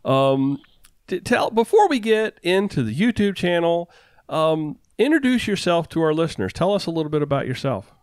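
A man's voice: fairly high pitch, very animated, normal speed, clear, resonant tone, no room sound, clean studio sound. A man hosting a podcast from a home room over a remote call, speaking into a podcast mic while wearing headphones, asking questions in an easygoing way. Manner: easygoing, asks questions, chuckles along. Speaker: podcast host. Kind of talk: casual podcast interview.

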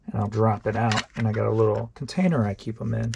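A man's voice: fairly low pitch, fairly even intonation, fast talker, gravelly, background noise. A man, chatting casually and thinking aloud as he sorts through items for resale. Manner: rambling and offhand, thinks aloud while sorting tapes. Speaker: online reseller. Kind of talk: casual show-and-tell vlog.

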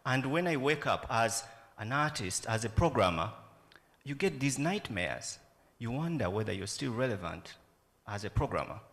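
A man's voice: medium pitch, fairly bright tone, long reverb tail. A man in his forties with a Ugandan accent, speaking earnestly into a stand mic at a festival panel, often asking reflective questions. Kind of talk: panel talk. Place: conference room with a yellow banner.

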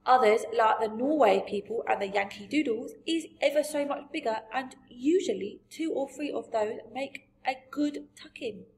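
A woman voicing a big, friendly male giant from a storybook, gentle and boastful, with muddled grammar and emphatic delivery. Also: a little high, moderate pace, few pauses, pitched above the performer's own voice, lightly acted. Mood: eager, explaining. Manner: garbled grammar, invented words, emphatic. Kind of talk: storybook character voice.

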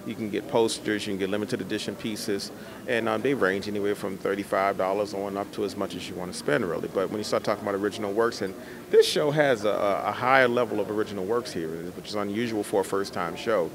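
A middle-aged man gives conversational interview answers in a fairly low voice. He speaks at a brisk pace into a handheld mic, with a clearly audible crowd around him in an exhibition hall. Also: fairly even intonation. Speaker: interviewed professional artist. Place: indoor art expo in a convention center. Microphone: handheld interview microphone. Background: crowd noise.

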